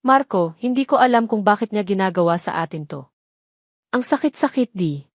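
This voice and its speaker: A woman's voice: medium pitch, animated, normal speed, no echo, studio quality, close to an everyday voice. A woman voicing a young mistress who plays the victim in an audio drama, plaintive and tearful. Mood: tearful, pleading.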